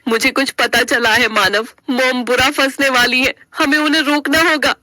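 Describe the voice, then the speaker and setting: A woman's voice: high-pitched, fairly even intonation, normal speed, pushed and bright, no echo. A woman playing a young, earnest daughter-in-law in a family story, pleading and emotional. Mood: worried.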